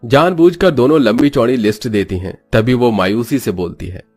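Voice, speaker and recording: A man's voice: medium pitch, moderate pace, a little mellow. A man narrating a scripted moral story as a storyteller. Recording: music bed behind voice.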